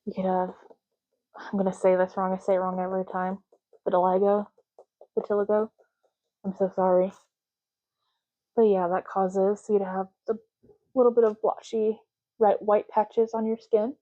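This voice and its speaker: A soft-spoken woman doing an ASMR roleplay as a clerk, explaining gently and unhurriedly in short, broken phrases. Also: medium pitch, flat delivery, normal speed, pauses often, dry recording, lo-fi sound. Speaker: ASMR creator playing an ID card clerk.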